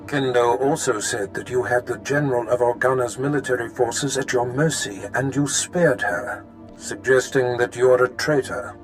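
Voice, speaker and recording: An actor playing a calculating, imperious Sith master in a video game, speaking in a measured, formal way. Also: male voice, medium pitch, faint music behind, close to an everyday voice, low-quality recording. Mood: cold, commanding. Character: menacing Sith master, calculating, imperious older male villain. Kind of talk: cinematic game voice acting.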